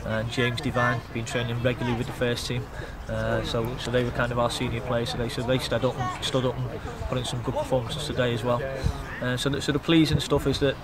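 A middle-aged man, a youth football coach giving a measured, matter-of-fact post-match interview outdoors. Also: mid-range voice. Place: outdoors beside a grass football pitch.

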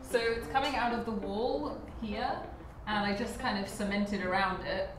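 A woman's voice: medium pitch, normal speed, music in the background. A young woman, casually talking through her barn renovation as she works, thinking aloud about her next steps. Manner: chatty, thinking aloud while working. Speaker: DIY renovation vlogger. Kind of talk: casual vlog narration. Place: large empty brick barn, indoors. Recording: echoey barn, mic set far off, faint background hiss.

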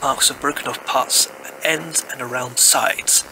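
A man playing an expedition scientist in an audio drama, dictating halting, clipped field reports. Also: mid-range voice, fairly even intonation, natural voice. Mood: excited, awed. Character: male expedition scientist relaying field discoveries by radio. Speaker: voice actor in an audio play. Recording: clearly noisy background.

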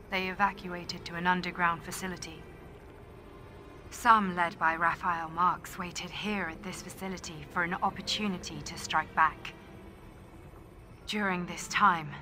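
A woman performs a grim female soldier dictating a dramatic log for a video game. Her voice is on the low side, grave and deliberate, falling into clipped phrases. Music plays clearly behind her. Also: natural voice. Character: grim, solemn female soldier logging discoveries.

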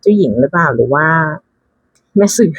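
A woman's voice: low-pitched, expressive, brisk, breathy edge, no echo. A woman giving casual, chatty unboxing commentary, thinking aloud with frequent exclamations and dropping English card names into her talk. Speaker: tarot deck reviewer.

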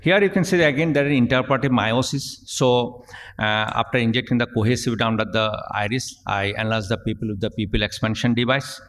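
A middle-aged man, an eye surgeon, giving a technical conference lecture at a podium microphone, halting with many fillers and restarts. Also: mid-range voice, fairly bright tone, slight background noise. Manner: halting, frequent fillers and restarts, reading at a lectern. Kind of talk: technical lecture narrating surgical video. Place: large conference hall, at a podium. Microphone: podium gooseneck microphone. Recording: long hall reverb.

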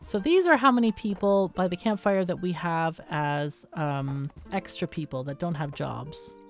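A woman's voice: fairly low pitch, a little slow, faint music behind. A woman hosting a gaming video with casual let's-play commentary, explaining and thinking aloud as she plays.

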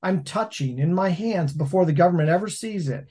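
A man's voice: sits high, a little fast, slightly rough. A man in his forties, a laundromat owner telling stories in a casual interview over a video call from a home office. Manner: storytelling, animated hand gestures.

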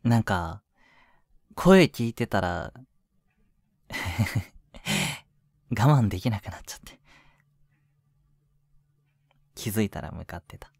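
A man voicing an affectionate, clingy young boyfriend in an intimate audio drama, murmuring softly to the listener with breathy chuckles. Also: on the low side, lively intonation, slow, pauses often, no room sound, studio quality, heavily acted. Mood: happy, affectionate. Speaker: voice actor.

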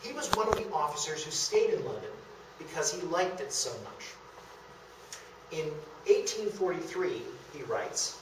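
A man's voice: a little high, expressive, unhurried. Man lecturing from across an echoey room. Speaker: lecturer presenting a history talk. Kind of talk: illustrated public lecture. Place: indoor meeting room of a historic house. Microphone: camera across the room, far from speaker. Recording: echoey room, distant, faint hiss.

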